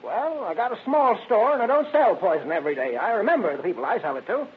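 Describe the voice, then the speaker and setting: Nervous, swooping shopkeeper witness. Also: male voice, high-pitched, very animated, quick pace. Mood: flustered. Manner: hesitant, flustered, deferential. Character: nervous, defensive male small-store shopkeeper witness. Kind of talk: 1940s radio acting. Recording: muffled, noisy old radio recording.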